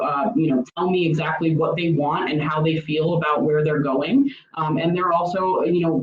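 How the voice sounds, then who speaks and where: Woman coach explaining stuff in an interview, kinda wordy n earnest, roomy lo-fi sound. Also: low voice. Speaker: former college coach, interview guest. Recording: roomy, dull lo-fi audio.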